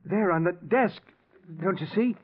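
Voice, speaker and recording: A man's voice: sits high, a little slow. An actor playing an anxious, fervent man convinced of his doom, in the dramatic style of 1940s radio. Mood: terrified. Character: anxious, doomed man obsessed with cheating death. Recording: muffled vintage radio recording.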